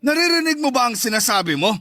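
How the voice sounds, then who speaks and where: A man's voice: very high-pitched, very animated, fast talker, sharp tone, dry recording, clean studio sound, full character voice. A man playing a domineering, jealous ex-husband who sneers and taunts in an audio drama. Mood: scornful. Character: domineering, mocking macho ex-husband, jealous and scornful. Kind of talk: Tagalog audio drama dialogue.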